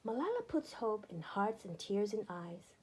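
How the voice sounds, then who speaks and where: A woman narrating a children's book aloud in an expressive, deliberate storytelling manner with emphatic phrasing. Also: mid-range voice, slightly rough, rough recording.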